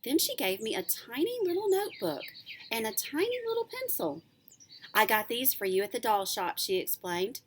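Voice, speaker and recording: A woman, a teacher reading a children's book aloud in an expressive, animated storytelling way. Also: fairly high pitch, normal speed, husky.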